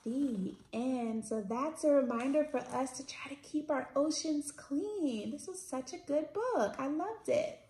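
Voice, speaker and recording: A woman in her thirties hosting children's storytime, reading picture books aloud in an expressive, sing-song way. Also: high-pitched, a little slow, hardly any pauses. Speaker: children's storytime host at a library. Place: indoors, small plain-walled room. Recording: roomy echo from camera mic.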